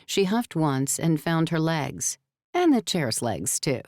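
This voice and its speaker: A woman's voice: low-pitched, big pitch swings, takes it slowly, darkish tone, no echo, studio quality. A woman reading scripted story narration as an audiobook narrator.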